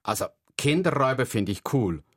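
A man's voice: medium pitch, animated, a little fast, slightly rough. A man reading as a brash action-hungry schoolboy. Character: bold schoolboy who wants danger and action.

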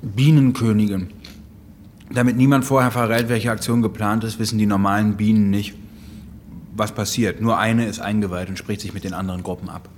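An actor playing a cocky, dry-witted police detective in a radio crime drama, with a glib, flippant manner. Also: male voice, lowish voice, steady tone, a little fast, slight background noise, lightly acted. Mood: smug, explaining.

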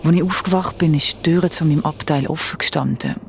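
A woman's voice: sits low, fast talker, creaky, slight background noise. A woman with a Swiss German accent playing a shaken, insistent young traveller who narrates her ordeal in a radio drama. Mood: shaken, tense.

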